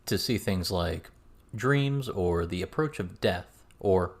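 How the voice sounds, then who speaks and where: A man voicing a calm, patient male mentor who explains deliberately in an audiobook. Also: low voice, exaggerated voice.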